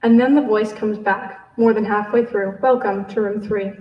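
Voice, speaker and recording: A woman's voice: medium pitch, fairly even intonation, moderate pace. A young woman, a contest winner speaking and reading her story at a live event. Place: library event room with audience. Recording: dull, noisy, echoey distant room sound.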